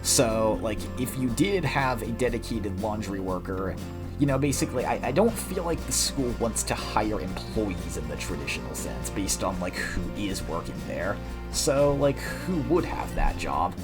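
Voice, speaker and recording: A man's voice: fairly low pitch, sing-song, normal speed, music in the background. A man hosting a casual fan fiction reading and reaction, talking in an informal, rambling, conversational way.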